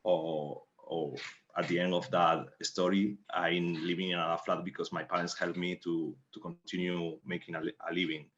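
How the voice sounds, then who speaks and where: Deep spanish guy, native spanish speaker, halting english, flat delivery, doing a q&a answer. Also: muffled recording, slight background noise. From Spain. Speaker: playwright. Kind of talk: answering in a panel Q&A.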